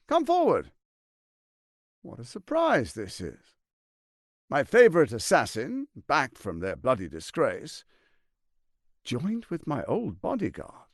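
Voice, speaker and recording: Suave, pompous villain lord with wildly swooping delivery. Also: male voice, mid-range voice, gravelly, dry recording, studio quality, heavily acted. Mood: grandiose welcome. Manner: pompous, silky, theatrical delivery. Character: suave, scheming, arrogant tyrant lord, adult man. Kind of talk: theatrical video game voice acting.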